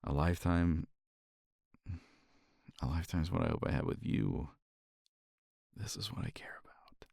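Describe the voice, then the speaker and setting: A man speaking softly and reflectively in a romantic ASMR roleplay, sighing often and sometimes dropping to a whisper, close to the mic. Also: very deep voice, normal speed, vocal fry, pauses often, no echo. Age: older. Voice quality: soft, intimate, drifts into whispers.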